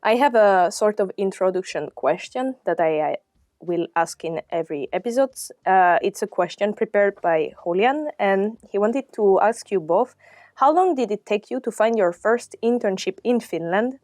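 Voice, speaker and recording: A woman's voice: mid-range voice, lively intonation, normal speed, a little mellow, no echo, clean studio sound. A woman hosting a podcast interview, friendly and laughing between questions. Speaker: podcast host.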